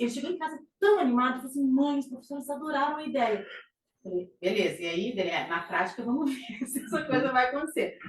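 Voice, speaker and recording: A middle-aged Brazilian woman giving an informal psychology talk, with frequent fillers and restarts. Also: sits high. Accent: Brazilian Portuguese. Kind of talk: conversational lecture presentation. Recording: echoey room, distant mic, faint noise.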